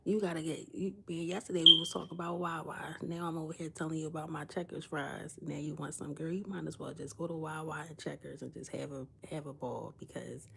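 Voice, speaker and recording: A young woman streaming from inside someone else's home, keeping her voice hushed and low, often slipping into a whisper. Her tone is bright and gravelly, with a slightly low pitch. She tells stories animatedly and plays out both sides of each conversation. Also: a little fast, hardly any pauses, slight background noise. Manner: animated storytelling, acting out dialogue. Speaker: live-stream vlogger. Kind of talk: casual chat with live viewers. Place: indoors in someone else's house.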